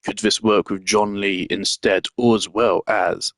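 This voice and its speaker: A man's voice: fairly low pitch, flat delivery, unhurried, a bit bright, dry recording. Man rambling through casual game commentary. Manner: rambling, thinking aloud. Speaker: gamer. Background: faint game music.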